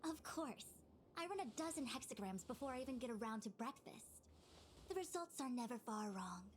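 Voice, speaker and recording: Woman doing bossy formal game diviner lady, highish voice, precise, bit of noise. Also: lively intonation, normal speed, close to an everyday voice. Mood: brisk, self-assured. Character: brisk, self-assured young female diviner official. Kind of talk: video game voice acting.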